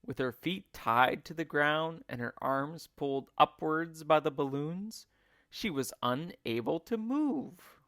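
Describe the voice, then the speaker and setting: Male storybook narrator, teacherly and theatrical. Unhurried delivery, very lively intonation. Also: fairly high pitch, dry recording, clean studio sound. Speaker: teacher reading a children's book aloud.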